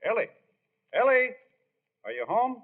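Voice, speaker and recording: A man acting in a 1940s radio drama as a good-natured, bashful married ballplayer, plain-spoken and halting. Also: extremely high pitch, very animated, full character voice. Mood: sheepish. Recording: tinny, narrow-band old radio recording.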